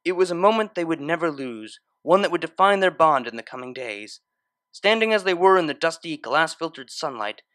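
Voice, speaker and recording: A man's voice: fairly high pitch, bright, forceful tone, no echo, studio quality. A man narrating a scripted story in a dramatic, literary style. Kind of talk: expressive scripted fan fiction narration.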